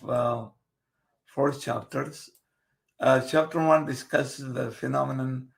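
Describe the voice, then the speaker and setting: A man whose first language is Kurdish, hosting a video interview and explaining his book haltingly, with many fillers and restarts. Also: fairly high pitch, vocal fry. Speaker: host presenting his book.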